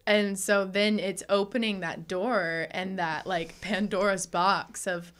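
A woman's voice: medium pitch, fairly even intonation, takes it slowly, a bit bright. A woman in her twenties with a mid-range voice, co-hosting a casual sex education podcast. She speaks earnestly and encouragingly, leaning on "like" as she thinks aloud. Her voice is captured close on a studio microphone. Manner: earnest, many "like" fillers, encouraging. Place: small studio with bookshelves and pink light. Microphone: studio mic on boom arm.

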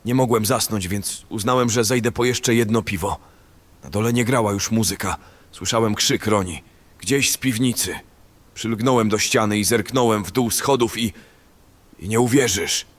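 A man acting a selfish, panicky bandit in a fantasy audio drama, with an excitable, colloquial way of speaking. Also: on the low side, normal speed, lightly acted. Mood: hushed, tense storytelling.

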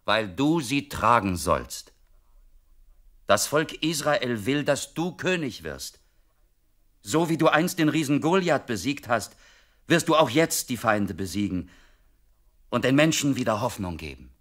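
An actor playing a loyal soldier messenger in a Bible radio play, speaking in an earnest, declamatory way. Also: male voice, medium pitch, very animated, unhurried, long pauses, fairly bright tone. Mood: earnest, hopeful.

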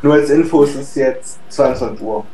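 A young man, a guest in a casual gaming chat, making playful deadpan remarks. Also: medium pitch, normal speed. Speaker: guest gamer. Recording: echoey noisy voice chat.

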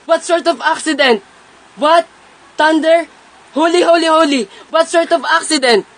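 An amateur actor playing a kindly, pious old peasant uncle in a radio play, folksy and gently chiding. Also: high-pitched, normal speed. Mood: alarmed. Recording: noisy amateur home recording.

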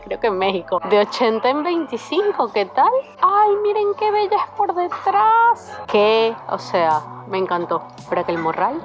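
A young woman gushes over items and reads out prices as she films a shopping tour for viewers in an indoor store. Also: on the high side. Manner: gushing, exclaims over items while reading out prices. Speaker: travel vlogger. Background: store background music.

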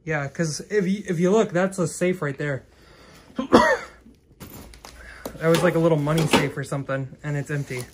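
A man's voice: a little high, fairly even intonation, normal speed, pauses often, a little mellow, a little hiss or hum. A young man chatting casually as he explores a cluttered house and talks through what he finds. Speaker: urban explorer filming a video. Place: inside a cluttered abandoned house. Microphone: handheld camera or phone, held by speaker.

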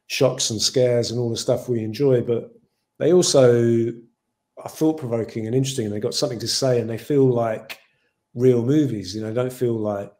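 English-accented man in his forties, mellow dark voice, thoughtful and rambling, over a video call. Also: medium pitch. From Ireland. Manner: thoughtful, rambling with many "you know" fillers. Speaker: film director. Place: home room with shelves.

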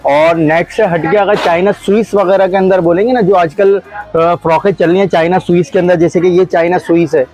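A man's voice: sits high, steady tone, moderate pace, darkish tone, soft background music, rough recording. A man in his thirties with a Karachi Urdu accent, a children's clothing seller listing his stock on a lapel mic in a small shop. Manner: fluent, listing items one after another. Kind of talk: sales pitch.

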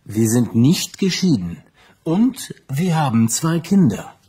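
An actor playing a separated husband being interviewed, with a husky voice, for a textbook recording. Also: male voice, medium pitch, very animated, takes it slowly, warm tone, exaggerated voice.

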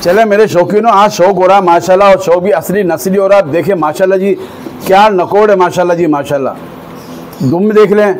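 A man walks through a goat farm, praising the animals eagerly and pitching them like a salesman. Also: fairly quick, darkish tone, a little hiss or hum. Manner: enthusiastic, repeats blessings often, salesy. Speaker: vlogger visiting a goat farm. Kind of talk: walk-through vlog commentary with a seller.

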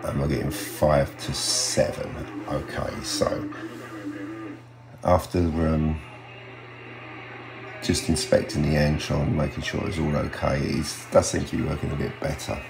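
An older man with an extremely deep voice full of creaky fry. He talks casually and haltingly, in an unhurried hobby-vlog style. The room sound is noticeable around him. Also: flat delivery. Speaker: radio hobbyist vlogger. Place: small home radio room, indoors. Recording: roomy sound with faint hum.

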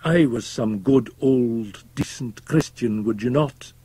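A man's voice: medium pitch, slow pace, dark tone, husky, dry recording, pitched above the performer's own voice. A man voicing a bitter, defiant old drunkard in a dramatized gothic audiobook, speaking in a declamatory, scornful way. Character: bitter, drink-sodden old Scotsman, fierce and defiant.

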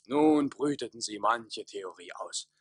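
An excitable manservant in a radio play. His husky voice swoops up and down as he recounts with theatrical scorn and disbelief. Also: lowish voice, measured pace, no room sound. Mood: incredulous, recounting. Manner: theatrical, incredulous storytelling. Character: excitable, scornful manservant wooing a guard girl.

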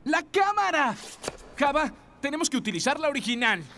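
High, animated cocky teenage boy. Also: normal speed, very noisy, full character voice. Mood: excited. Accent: Latin American. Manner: glib, wisecracking, excitable. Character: cocky, upbeat, wisecracking teenage boy ghost hunter. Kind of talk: animated cartoon dub acting. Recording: loud music under the voice.

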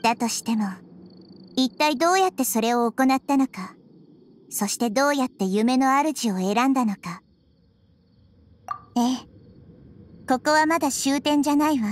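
A woman playing a wise, gentle childlike girl deity in an anime game, explaining things in a measured, thoughtful way. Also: sits high, lively intonation, slight background noise. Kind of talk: Japanese anime game voice acting. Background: background music.

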